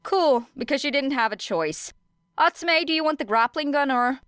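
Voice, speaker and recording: A woman's voice: high-pitched, animated, normal speed, fairly bright tone, no room sound, studio quality, pitched above the performer's own voice. Woman as tough, blunt young girl fighter. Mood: matter-of-fact. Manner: wry, swearing, matter-of-fact.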